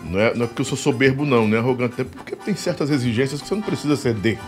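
A man from Piauí, hosting a podcast in animated, joking, rambling casual chat. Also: medium pitch, rapid-fire, music in the background. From Piauí, Brazil. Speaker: podcast host.

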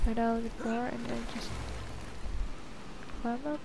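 Slow, high-pitched woman gamer. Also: completely flat delivery, creaky, noisy background. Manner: halting, thinking aloud. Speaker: gamer, channel host. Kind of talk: casual video game playthrough commentary. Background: game music and sound.

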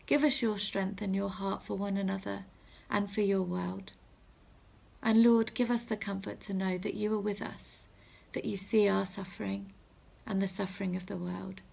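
Female reverend, thirties. Husky with lots of vocal fry, gentle reflective assembly delivery, pausing often, recorded on a device in a small room. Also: mid-range voice, steady tone. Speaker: reverend leading a school assembly. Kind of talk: gentle reflective assembly talk and prayer for children. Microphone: phone or webcam at arm's length.

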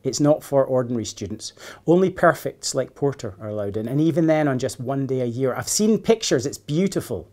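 A man's voice: medium pitch, animated, a little fast, darkish tone, close to an everyday voice. An earnest schoolgirl from a children's storybook, played by a man reading aloud. She speaks with naive seriousness. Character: earnest, wondering, gloomy schoolgirl.

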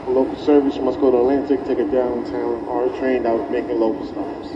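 A man's voice: medium pitch, flat delivery, fast. A man working as a train conductor, making live service announcements in a formal, instructive way. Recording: distant muffled train PA, loud noise. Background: subway car noise.

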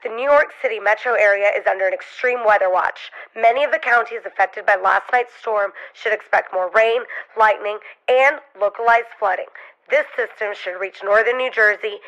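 A woman's voice: medium pitch, moderate pace, a bit bright, lo-fi sound, lightly acted. A woman playing a formal weather announcer reading a broadcast bulletin. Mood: official.

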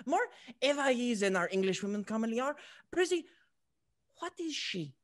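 A man playing an affected, excitable, French-mad fop in a stage comedy, effusive and giggly. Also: very high-pitched, normal speed, heavily acted. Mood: gushing, boastful. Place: indoors at home on video call.

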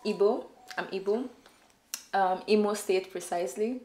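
A young woman, chatting warmly as an interview guest with lots of "like" fillers. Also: medium pitch, normal speed, roomy sound. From Nigeria. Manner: warm, upbeat, many "like" fillers. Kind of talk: casual interview answers. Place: indoor studio on a sofa. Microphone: off-camera shotgun mic.

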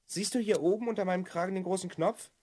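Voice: mid-range voice, fast talker, darkish tone, slight background noise, close to an everyday voice. An actor voicing an earnest, slightly bossy twelve-year-old boy time traveller in a German children's audio drama. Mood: instructing. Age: child figure, twelve.

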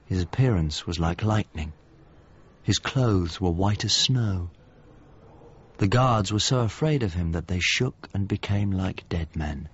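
A haunted, melancholy painter in a radio play. His voice is low and he speaks reflectively, as if confessing, with music underneath. The sound is slightly dull. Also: animated, a little slow, slightly rough, heavily acted. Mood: solemn. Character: Mario, melancholy haunted painter, a grown man. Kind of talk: naturalistic radio drama acting and monologue.

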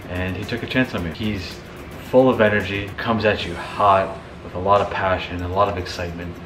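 Deep-voiced Canadian man in an echoey room. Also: steady tone, brisk. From Thorold, Ontario, Canada. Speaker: startup founder. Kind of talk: casual documentary interview. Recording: echoey room with music underneath. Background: music.

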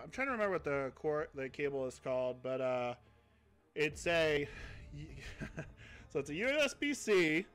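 A man's voice: a little high, fairly bright tone, smooth. A young man on a headset, chatting casually and rambling as he streams a mobile game, laughing at himself. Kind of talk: casual live-stream chat. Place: home streaming room.

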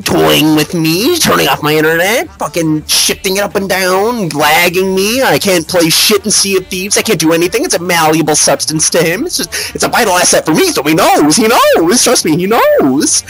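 A man hosting a casual comedic slideshow review, talking in a halting, rambling, profane, mock-serious way. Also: a little high, a bit bright, poor audio. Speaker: reviewer, video host.

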